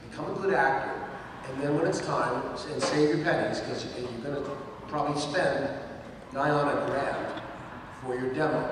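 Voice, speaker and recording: A man on a live panel giving measured, explanatory advice off the cuff. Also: medium pitch. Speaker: panelist, professional voice actor. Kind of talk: spontaneous advice to an audience. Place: large indoor convention hall. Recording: very echoey hall, distant, noisy.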